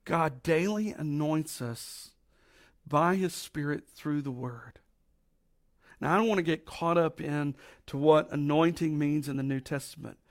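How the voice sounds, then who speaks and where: A middle-aged man with a raspy voice from allergies, preaching a folksy, emphatic sermon with slow drawn-out stresses. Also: fairly high pitch. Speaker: pastor.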